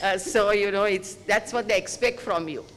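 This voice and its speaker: A man's voice: high voice, moderate pace, fairly bright tone. A man, a chairman giving praising closing remarks at a panel. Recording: reverberant hall with faint noise.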